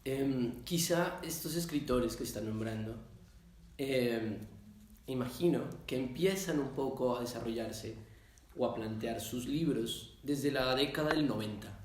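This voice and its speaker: A man interviews in an academic register, with a voice of average pitch. He approaches each question tentatively, rephrasing as he goes. Heavy room echo surrounds his words. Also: unhurried, a little mellow, slight background noise. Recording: very echoey room.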